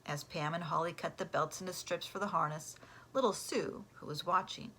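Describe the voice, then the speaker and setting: A woman reading a children's storybook aloud like a teacher, in an expressive way that acts out the characters. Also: on the low side, moderate pace, slight background noise. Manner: animated, acts out character voices.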